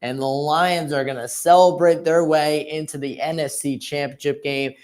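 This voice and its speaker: A man's voice: fairly high pitch, fairly even intonation, normal speed, darkish tone. A man giving informal, conversational analysis as co-commentator on an amateur live football broadcast.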